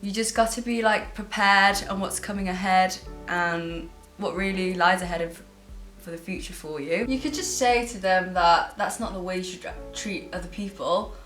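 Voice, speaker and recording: A woman's voice: medium pitch, normal speed, a bit bright. An 18-year-old woman from Thailand, a student in a documentary interview, speaking hesitantly with restarts and frequent "like". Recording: very echoey room, music behind.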